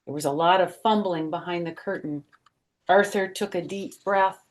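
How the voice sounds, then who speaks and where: A woman's voice: on the low side, moderate pace. A woman hosting storytime, reading a picture book aloud expressively and adding chatty asides.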